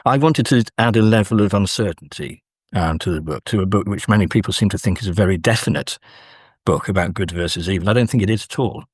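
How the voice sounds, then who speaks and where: Male academic for interview, lowish husky voice, close dry sound, thoughtful and halting delivery. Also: no echo. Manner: measured, hesitant, scholarly. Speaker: academic guest, author. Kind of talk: thoughtful literary interview discussion.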